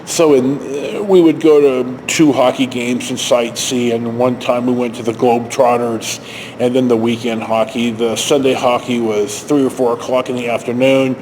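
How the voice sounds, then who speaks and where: An older, retired man telling stories casually to camera in a halting, rambling, self-correcting way. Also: medium pitch, fairly even intonation, darkish tone, a little hiss or hum, low-quality recording. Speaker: video storyteller.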